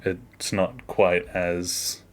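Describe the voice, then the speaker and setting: Low-voiced man, offhand and casual. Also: flat delivery, very slow. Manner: offhand, self-deprecating, trailing off. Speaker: animator, video creator. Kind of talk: casual behind-the-scenes screen walkthrough.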